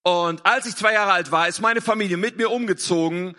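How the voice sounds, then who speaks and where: Man, sermon interpreter; higher, bright voice, earnest, restates phrases. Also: fairly quick. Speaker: interpreter and scripture reader.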